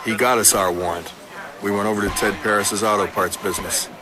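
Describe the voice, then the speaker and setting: A man's voice: on the low side, fairly even intonation, brisk, background noise, natural voice. A man playing a terse, driven police detective in a crime drama, with clipped lines and hard-boiled narration. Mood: matter-of-fact.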